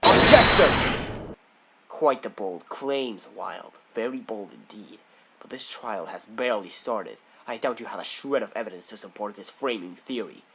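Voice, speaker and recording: A man's voice: on the low side, expressive, measured pace, pushed and bright, a little hiss or hum, full character voice, low-quality recording. A man playing a stern, haughty prosecutor who speaks in a pompous, formal and demanding way in a courtroom audio drama. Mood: scornful. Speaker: voice actor in a fan audio drama.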